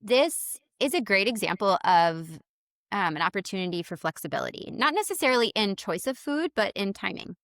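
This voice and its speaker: A woman's voice: medium pitch, lively intonation, fairly quick, fairly bright tone, dry recording. A woman in her thirties, a dietitian guest on a podcast, talking casually on a close mic with lots of "like" and "um" and easy laughter. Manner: chatty, fillers like "like" and "um", laughs easily.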